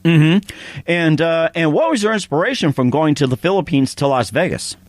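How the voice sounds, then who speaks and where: A man's voice: a little high, lively intonation, normal speed, no room sound. A male podcast host reading sponsor spots and chatting with a guest, rambling with lots of fillers and repeated phrases. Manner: rambling, many fillers and repeated "as well, too". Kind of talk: casual interview with read sponsor spots.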